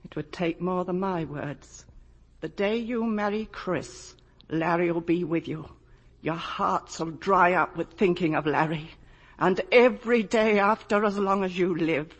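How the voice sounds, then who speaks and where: A woman's voice: fairly low pitch, a little slow. A woman acting in a 1950 radio drama as a middle-aged mother, grieving, brooding and stubbornly in denial. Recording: rough vintage radio recording.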